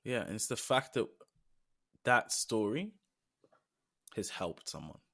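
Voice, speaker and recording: A man's voice: on the low side, big pitch swings, takes it slowly, bright, forceful tone. A young British man co-hosting a podcast, talking in an earnest, reflective way with long trailing phrases. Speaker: podcast co-host. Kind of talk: casual podcast chat.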